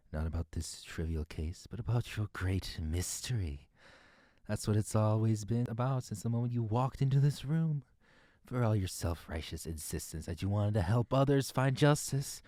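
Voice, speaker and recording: An actor playing a manipulative fey lord in an audio drama, with a theatrical, condescending, deliberate delivery. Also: mid-range voice, dark tone, dry recording, clean studio sound, lightly acted. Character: manipulative fey lord Oberon, smooth and menacing captor.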